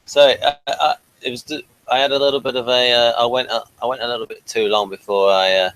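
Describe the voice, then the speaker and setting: A man hosting a casual online review chat, speaking in a hesitant, halting way. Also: mid-range voice, steady tone, fairly bright tone, rough recording. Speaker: host of a review channel.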